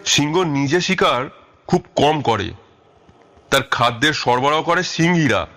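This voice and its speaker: A man playing a confident, commanding detective in an audio story, speaking in a measured, wry way. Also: fairly high pitch, animated, sharp tone. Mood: instructive. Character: calm, confident, commanding male Bengali detective. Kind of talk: audio story character acting.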